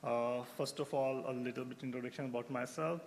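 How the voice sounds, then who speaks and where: A man's voice: mid-range voice, steady tone, brisk, gravelly, slight background noise. A man in his thirties from Pakistan, a researcher giving a conference talk, with frequent fillers and restarts. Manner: hesitant, many fillers and restarts. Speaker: researcher presenting at a symposium.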